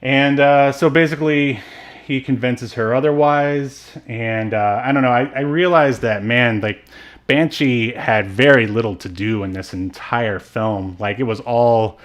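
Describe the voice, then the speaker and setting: A man's voice: medium pitch, a little slow. A man co-hosting a podcast, chatting casually about film in an informal, rambling way that comes with ready laughter. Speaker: podcast co-host. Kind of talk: casual film discussion chat.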